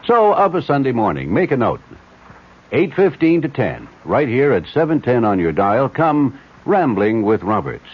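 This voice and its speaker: A man's voice: mid-range voice, very animated, moderate pace, breathy edge. A man delivering a chatty, genteel radio promo with some hesitant ad-libbing, on a dull 1970s broadcast. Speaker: radio host.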